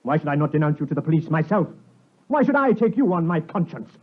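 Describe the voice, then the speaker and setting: A British man playing a stern, morally outraged gentleman in a declamatory 1950s radio drama. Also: fairly high pitch, big pitch swings, fast. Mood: contemptuous outrage. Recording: muffled narrow vintage radio broadcast.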